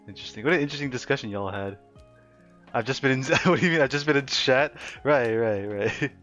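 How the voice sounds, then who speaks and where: A man's voice: mid-range voice, fairly quick, a bit bright. Giggly young male streamer, chatting loosely. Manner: giggly, laughs often and rambles with fillers. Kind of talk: casual livestream chat reading chat aloud. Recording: slightly dull lo-fi stream audio with faint music. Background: faint background music.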